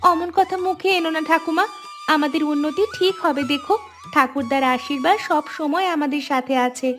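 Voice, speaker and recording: A woman's voice: high-pitched, normal speed. A woman voicing a stubborn, clever young village girl who speaks firmly and reassuringly in a cartoon story. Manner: firm, reassuring. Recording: soft background music.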